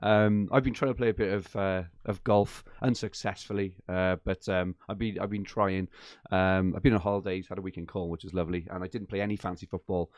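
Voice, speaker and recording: A man hosting a live fantasy football podcast from his attic, chatty and enthusiastic with lots of fillers, his voice a little croaky from a late night. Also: low-pitched, steady tone, fairly quick, darkish tone, no room sound. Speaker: podcast host. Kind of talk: live-stream podcast chat. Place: home attic.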